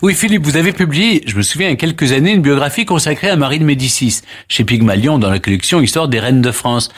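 A man hosting a radio history show, narrating past events in a lively, theatrical way with emphatic pauses. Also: fairly high pitch. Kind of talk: dramatic historical storytelling.

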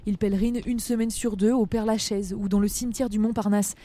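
Mellow-voiced woman reporter narrating. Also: medium pitch, steady tone. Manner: measured, literary. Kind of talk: scripted narration.

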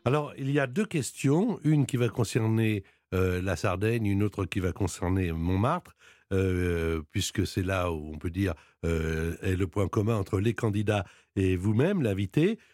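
A man hosting a chat and quiz show, speaking in a measured way and putting stress on each answer option. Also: sits low, animated, a little mellow, no echo, clean studio sound. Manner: measured, plays up quiz options with rising emphasis. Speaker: radio quiz show host. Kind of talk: hosted interview and quiz.

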